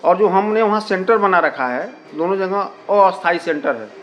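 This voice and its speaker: High-voiced man explaining. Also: moderate pace. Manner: repetitive, peppers Hindi with English words and filler phrases. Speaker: community radio station representative being interviewed. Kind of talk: explanatory interview answers.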